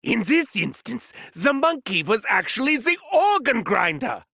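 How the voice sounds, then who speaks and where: A mad scientist villain for a video game, with a German accent and sadistic glee. His voice is bright and sharp, recorded very dry. He taunts theatrically, swinging between shrill delight and sinister murmurs. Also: sing-song, unhurried, vocal fry, husky, dry recording, full character voice. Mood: manic glee. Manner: theatrical, swooping from gleeful shrieks to sinister murmurs. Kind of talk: video game character voice lines.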